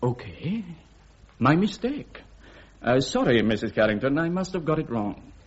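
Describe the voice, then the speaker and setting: An actor playing a pushy, insinuating newspaper reporter, ingratiating and sly, in a radio drama. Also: male voice, medium pitch, big pitch swings, unhurried, slightly rough. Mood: mock apologetic. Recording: dull lo-fi radio drama recording.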